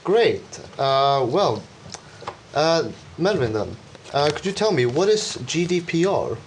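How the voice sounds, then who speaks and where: A man's voice: fairly high pitch, very animated, slow pace, a little hiss or hum. Young male student talk show host. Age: teens or young adult. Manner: leads questions, hesitant fillers.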